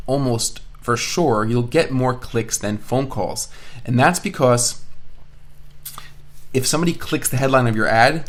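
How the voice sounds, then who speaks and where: A man's voice: mid-range voice, fairly bright tone. Male course instructor, mid-range voice, casual and explanatory, narrating on-screen steps. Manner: casual, explanatory, thinking aloud. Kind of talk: screen-share software tutorial narration. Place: indoors at a computer, voice-over of screen recording.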